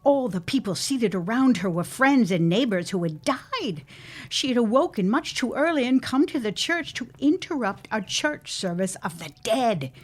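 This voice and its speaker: A woman's voice: a little high, big pitch swings, normal speed. An actress playing a woman who tells an eerie ghost tale in a grave, suspenseful, theatrical way for an audio drama.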